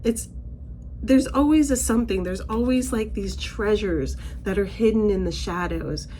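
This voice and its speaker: Chatty woman reading oracle cards, slightly raspy. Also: mid-range voice, normal speed, warm tone, a little hiss or hum. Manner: chatty, rambling, with many fillers and restarts. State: slightly raspy, recovering from laryngitis. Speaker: oracle card reader. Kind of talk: casual spiritual card reading.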